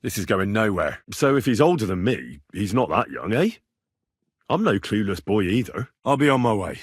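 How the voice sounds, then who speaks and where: A young medieval soldier for a video game, earnest and a little cheeky. His delivery swings freely in pitch, with a husky edge to the voice. The sound is close and dry, and he speaks plainly, as if in conversation. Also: sing-song, normal speed, no room sound. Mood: conversational, earnest. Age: young adult. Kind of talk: video game character dialogue.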